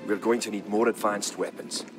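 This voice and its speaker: An actor playing a grave, resolute medieval clansman, narrating a war campaign in a solemn, measured, dramatic style. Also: on the low side, steady tone, natural voice. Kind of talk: dramatic scripted storytelling narration. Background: music behind the voice.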